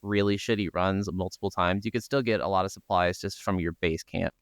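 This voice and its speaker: Male co-host, fairly deep and crisp, informal agreeable game talk, recorded close and dry. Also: fairly even intonation, fairly quick, dry recording. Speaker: podcast co-host. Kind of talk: casual video game discussion.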